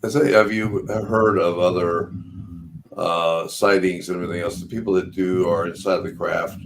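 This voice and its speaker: A man hosting a radio panel, putting questions conversationally in halting, circling phrases. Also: low voice, fairly even intonation, vocal fry, background noise. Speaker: radio show host.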